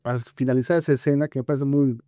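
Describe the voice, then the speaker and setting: A man with a Bolivian Spanish accent talking film criticism conversationally as a podcast co-host, haltingly searching for words with frequent filler tags. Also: mid-range voice, fairly even intonation, warm tone, no room sound, clean studio sound.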